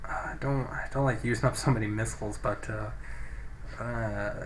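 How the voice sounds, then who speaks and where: A man's voice: lowish voice, fairly even intonation, moderate pace. Man on a noisy, poor mic. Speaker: gameplay video host. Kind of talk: casual game commentary, reading in-game text aloud. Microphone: low-quality microphone.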